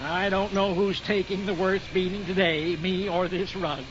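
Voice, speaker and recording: An actor playing a blustery, boastful husband in a 1940s radio situation comedy, with a theatrical delivery. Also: male voice, sits high, little pitch movement, normal speed, natural voice. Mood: weary grumbling. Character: blustery, cocky husband doing the housework. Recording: muffled, noisy 1940s radio recording.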